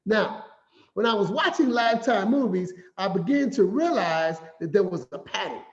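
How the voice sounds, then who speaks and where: A man giving a finance talk as an animated, playful storyteller who acts out quotes. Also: high voice, normal speed. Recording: echoey room, slightly dull sound.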